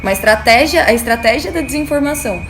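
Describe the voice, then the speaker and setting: A woman's voice: sits high, lively intonation, fast talker, background noise. A young Brazilian woman, a university student, answering thoughtfully in an interview with frequent fillers. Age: young adult.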